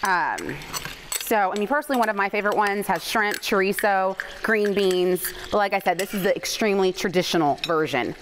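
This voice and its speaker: A woman's voice: medium pitch, a little fast, background noise. A woman in her thirties, a restaurant chef guest, explaining a dish step by step in an upbeat way on a TV studio kitchen set. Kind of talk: cooking demo on TV.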